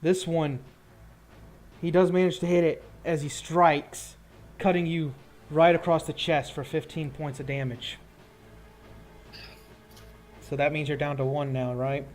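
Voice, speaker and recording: Higher-pitched man running a tabletop game. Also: flat delivery, unhurried, pauses often, soft background music. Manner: casual, descriptive. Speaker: game master. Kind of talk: narrating a tabletop role-playing game.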